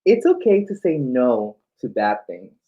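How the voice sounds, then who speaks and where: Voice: medium pitch, big pitch swings, unhurried. A drag queen co-hosting a children's story time, reading aloud with playful, campy banter over livestream audio. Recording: slightly dull livestream audio.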